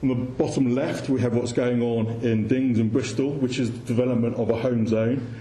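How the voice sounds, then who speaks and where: A man's voice: mid-range voice, fairly even intonation, normal speed, warm tone. A man giving an informal presentation to an audience, speaking somewhat hesitantly and repeating words. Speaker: conference speaker. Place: indoor room with audience. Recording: echoey room with faint background noise.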